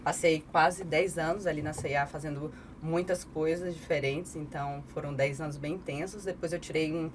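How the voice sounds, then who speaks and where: Low-voiced woman with a Bahian accent. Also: steady tone, normal speed, few pauses, noisy background. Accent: Bahian Portuguese. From Salvador, Brazil. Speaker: podcast guest, strategy expert.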